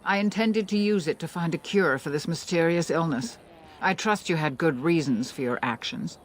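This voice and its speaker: A fantasy game's elven matriarch, acted by a woman speaks with grave composure and formal authority. Her delivery is measured, and faint outdoor ambience sits behind the voice. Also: deep voice, a little hiss or hum, lightly acted. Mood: composed, grave. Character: grave, composed elven female clan elder and leader. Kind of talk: fantasy video game dialogue.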